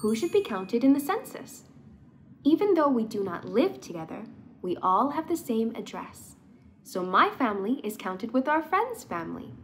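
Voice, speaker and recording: A woman plays a sweet little immigrant girl in a children's storybook. The voice is high and melodic, the telling simple and earnest. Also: big pitch swings, normal speed, slight background noise. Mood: earnest, friendly. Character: sweet young immigrant girl with her doll.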